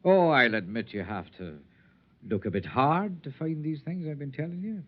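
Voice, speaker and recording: An actor playing a whimsical, lonely tramp in an old-time radio drama, speaking in a florid, lilting, poetic way. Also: male voice, fairly high pitch, animated, moderate pace. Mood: earnest, soothing. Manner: lilting, florid, theatrical speech. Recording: dull vintage radio recording.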